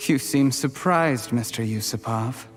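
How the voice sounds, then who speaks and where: A male actor as an ambitious young male schemer from a video game, medium in pitch. He is formal and deliberate, politeness laid over resentment. His voice rings in a long reverb with faint music underneath. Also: close to an everyday voice. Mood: cold, bitter.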